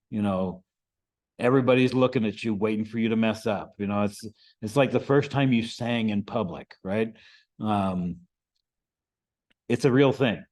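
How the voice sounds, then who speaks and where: A man's voice: lowish voice, a little mellow. An American man giving an informal instructional presentation to a group, conversational, often checking in with short questions. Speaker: presenter, amateur radio instructor.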